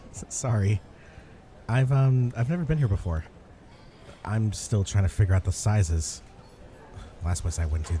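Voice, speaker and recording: A man's voice: lowish voice, mellow, a little hiss or hum, natural voice. A man playing a friendly, awkward and chatty cafe customer in a comedy audio roleplay, hesitant and rambling. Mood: sheepish, friendly. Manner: hesitant, rambling, good-natured. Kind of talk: ASMR comedy roleplay acting.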